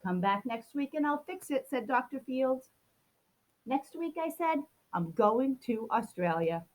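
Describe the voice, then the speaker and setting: A woman's voice: high-pitched, expressive, a little slow, rough recording. A woman reading a children's picture book aloud, expressively acting out a grumpy child's complaints. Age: adult. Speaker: storybook reader.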